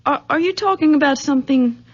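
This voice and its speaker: A very high-pitched young woman voiced by an actress, excitable and easily shaken, speaks haltingly and with open emotion. It is radio drama acting of the 1970s, on a dull, muffled recording. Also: natural voice. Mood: bewildered. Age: young adult.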